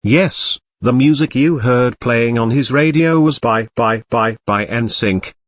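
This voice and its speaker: A man's voice: mid-range voice, measured pace. A man hosting a talk show, delivering scripted segments and announcements to viewers. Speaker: show host.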